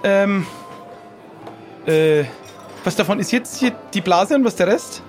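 A man's voice: sits high, expressive, slow pace, faint music behind. A man playing a nervous young pirate lad who stammers and hesitates, in an improvised comic audio play. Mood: hesitant, fearful. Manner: stammering, hesitant, repeats words.

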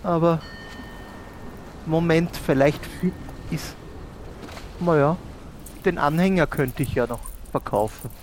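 A man's voice: fairly high pitch, takes it slowly, long pauses, slight background noise. A man with an Austrian accent improvising in character in a role-play, hesitant and repeating himself. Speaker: player in an improvised audio-play adventure.

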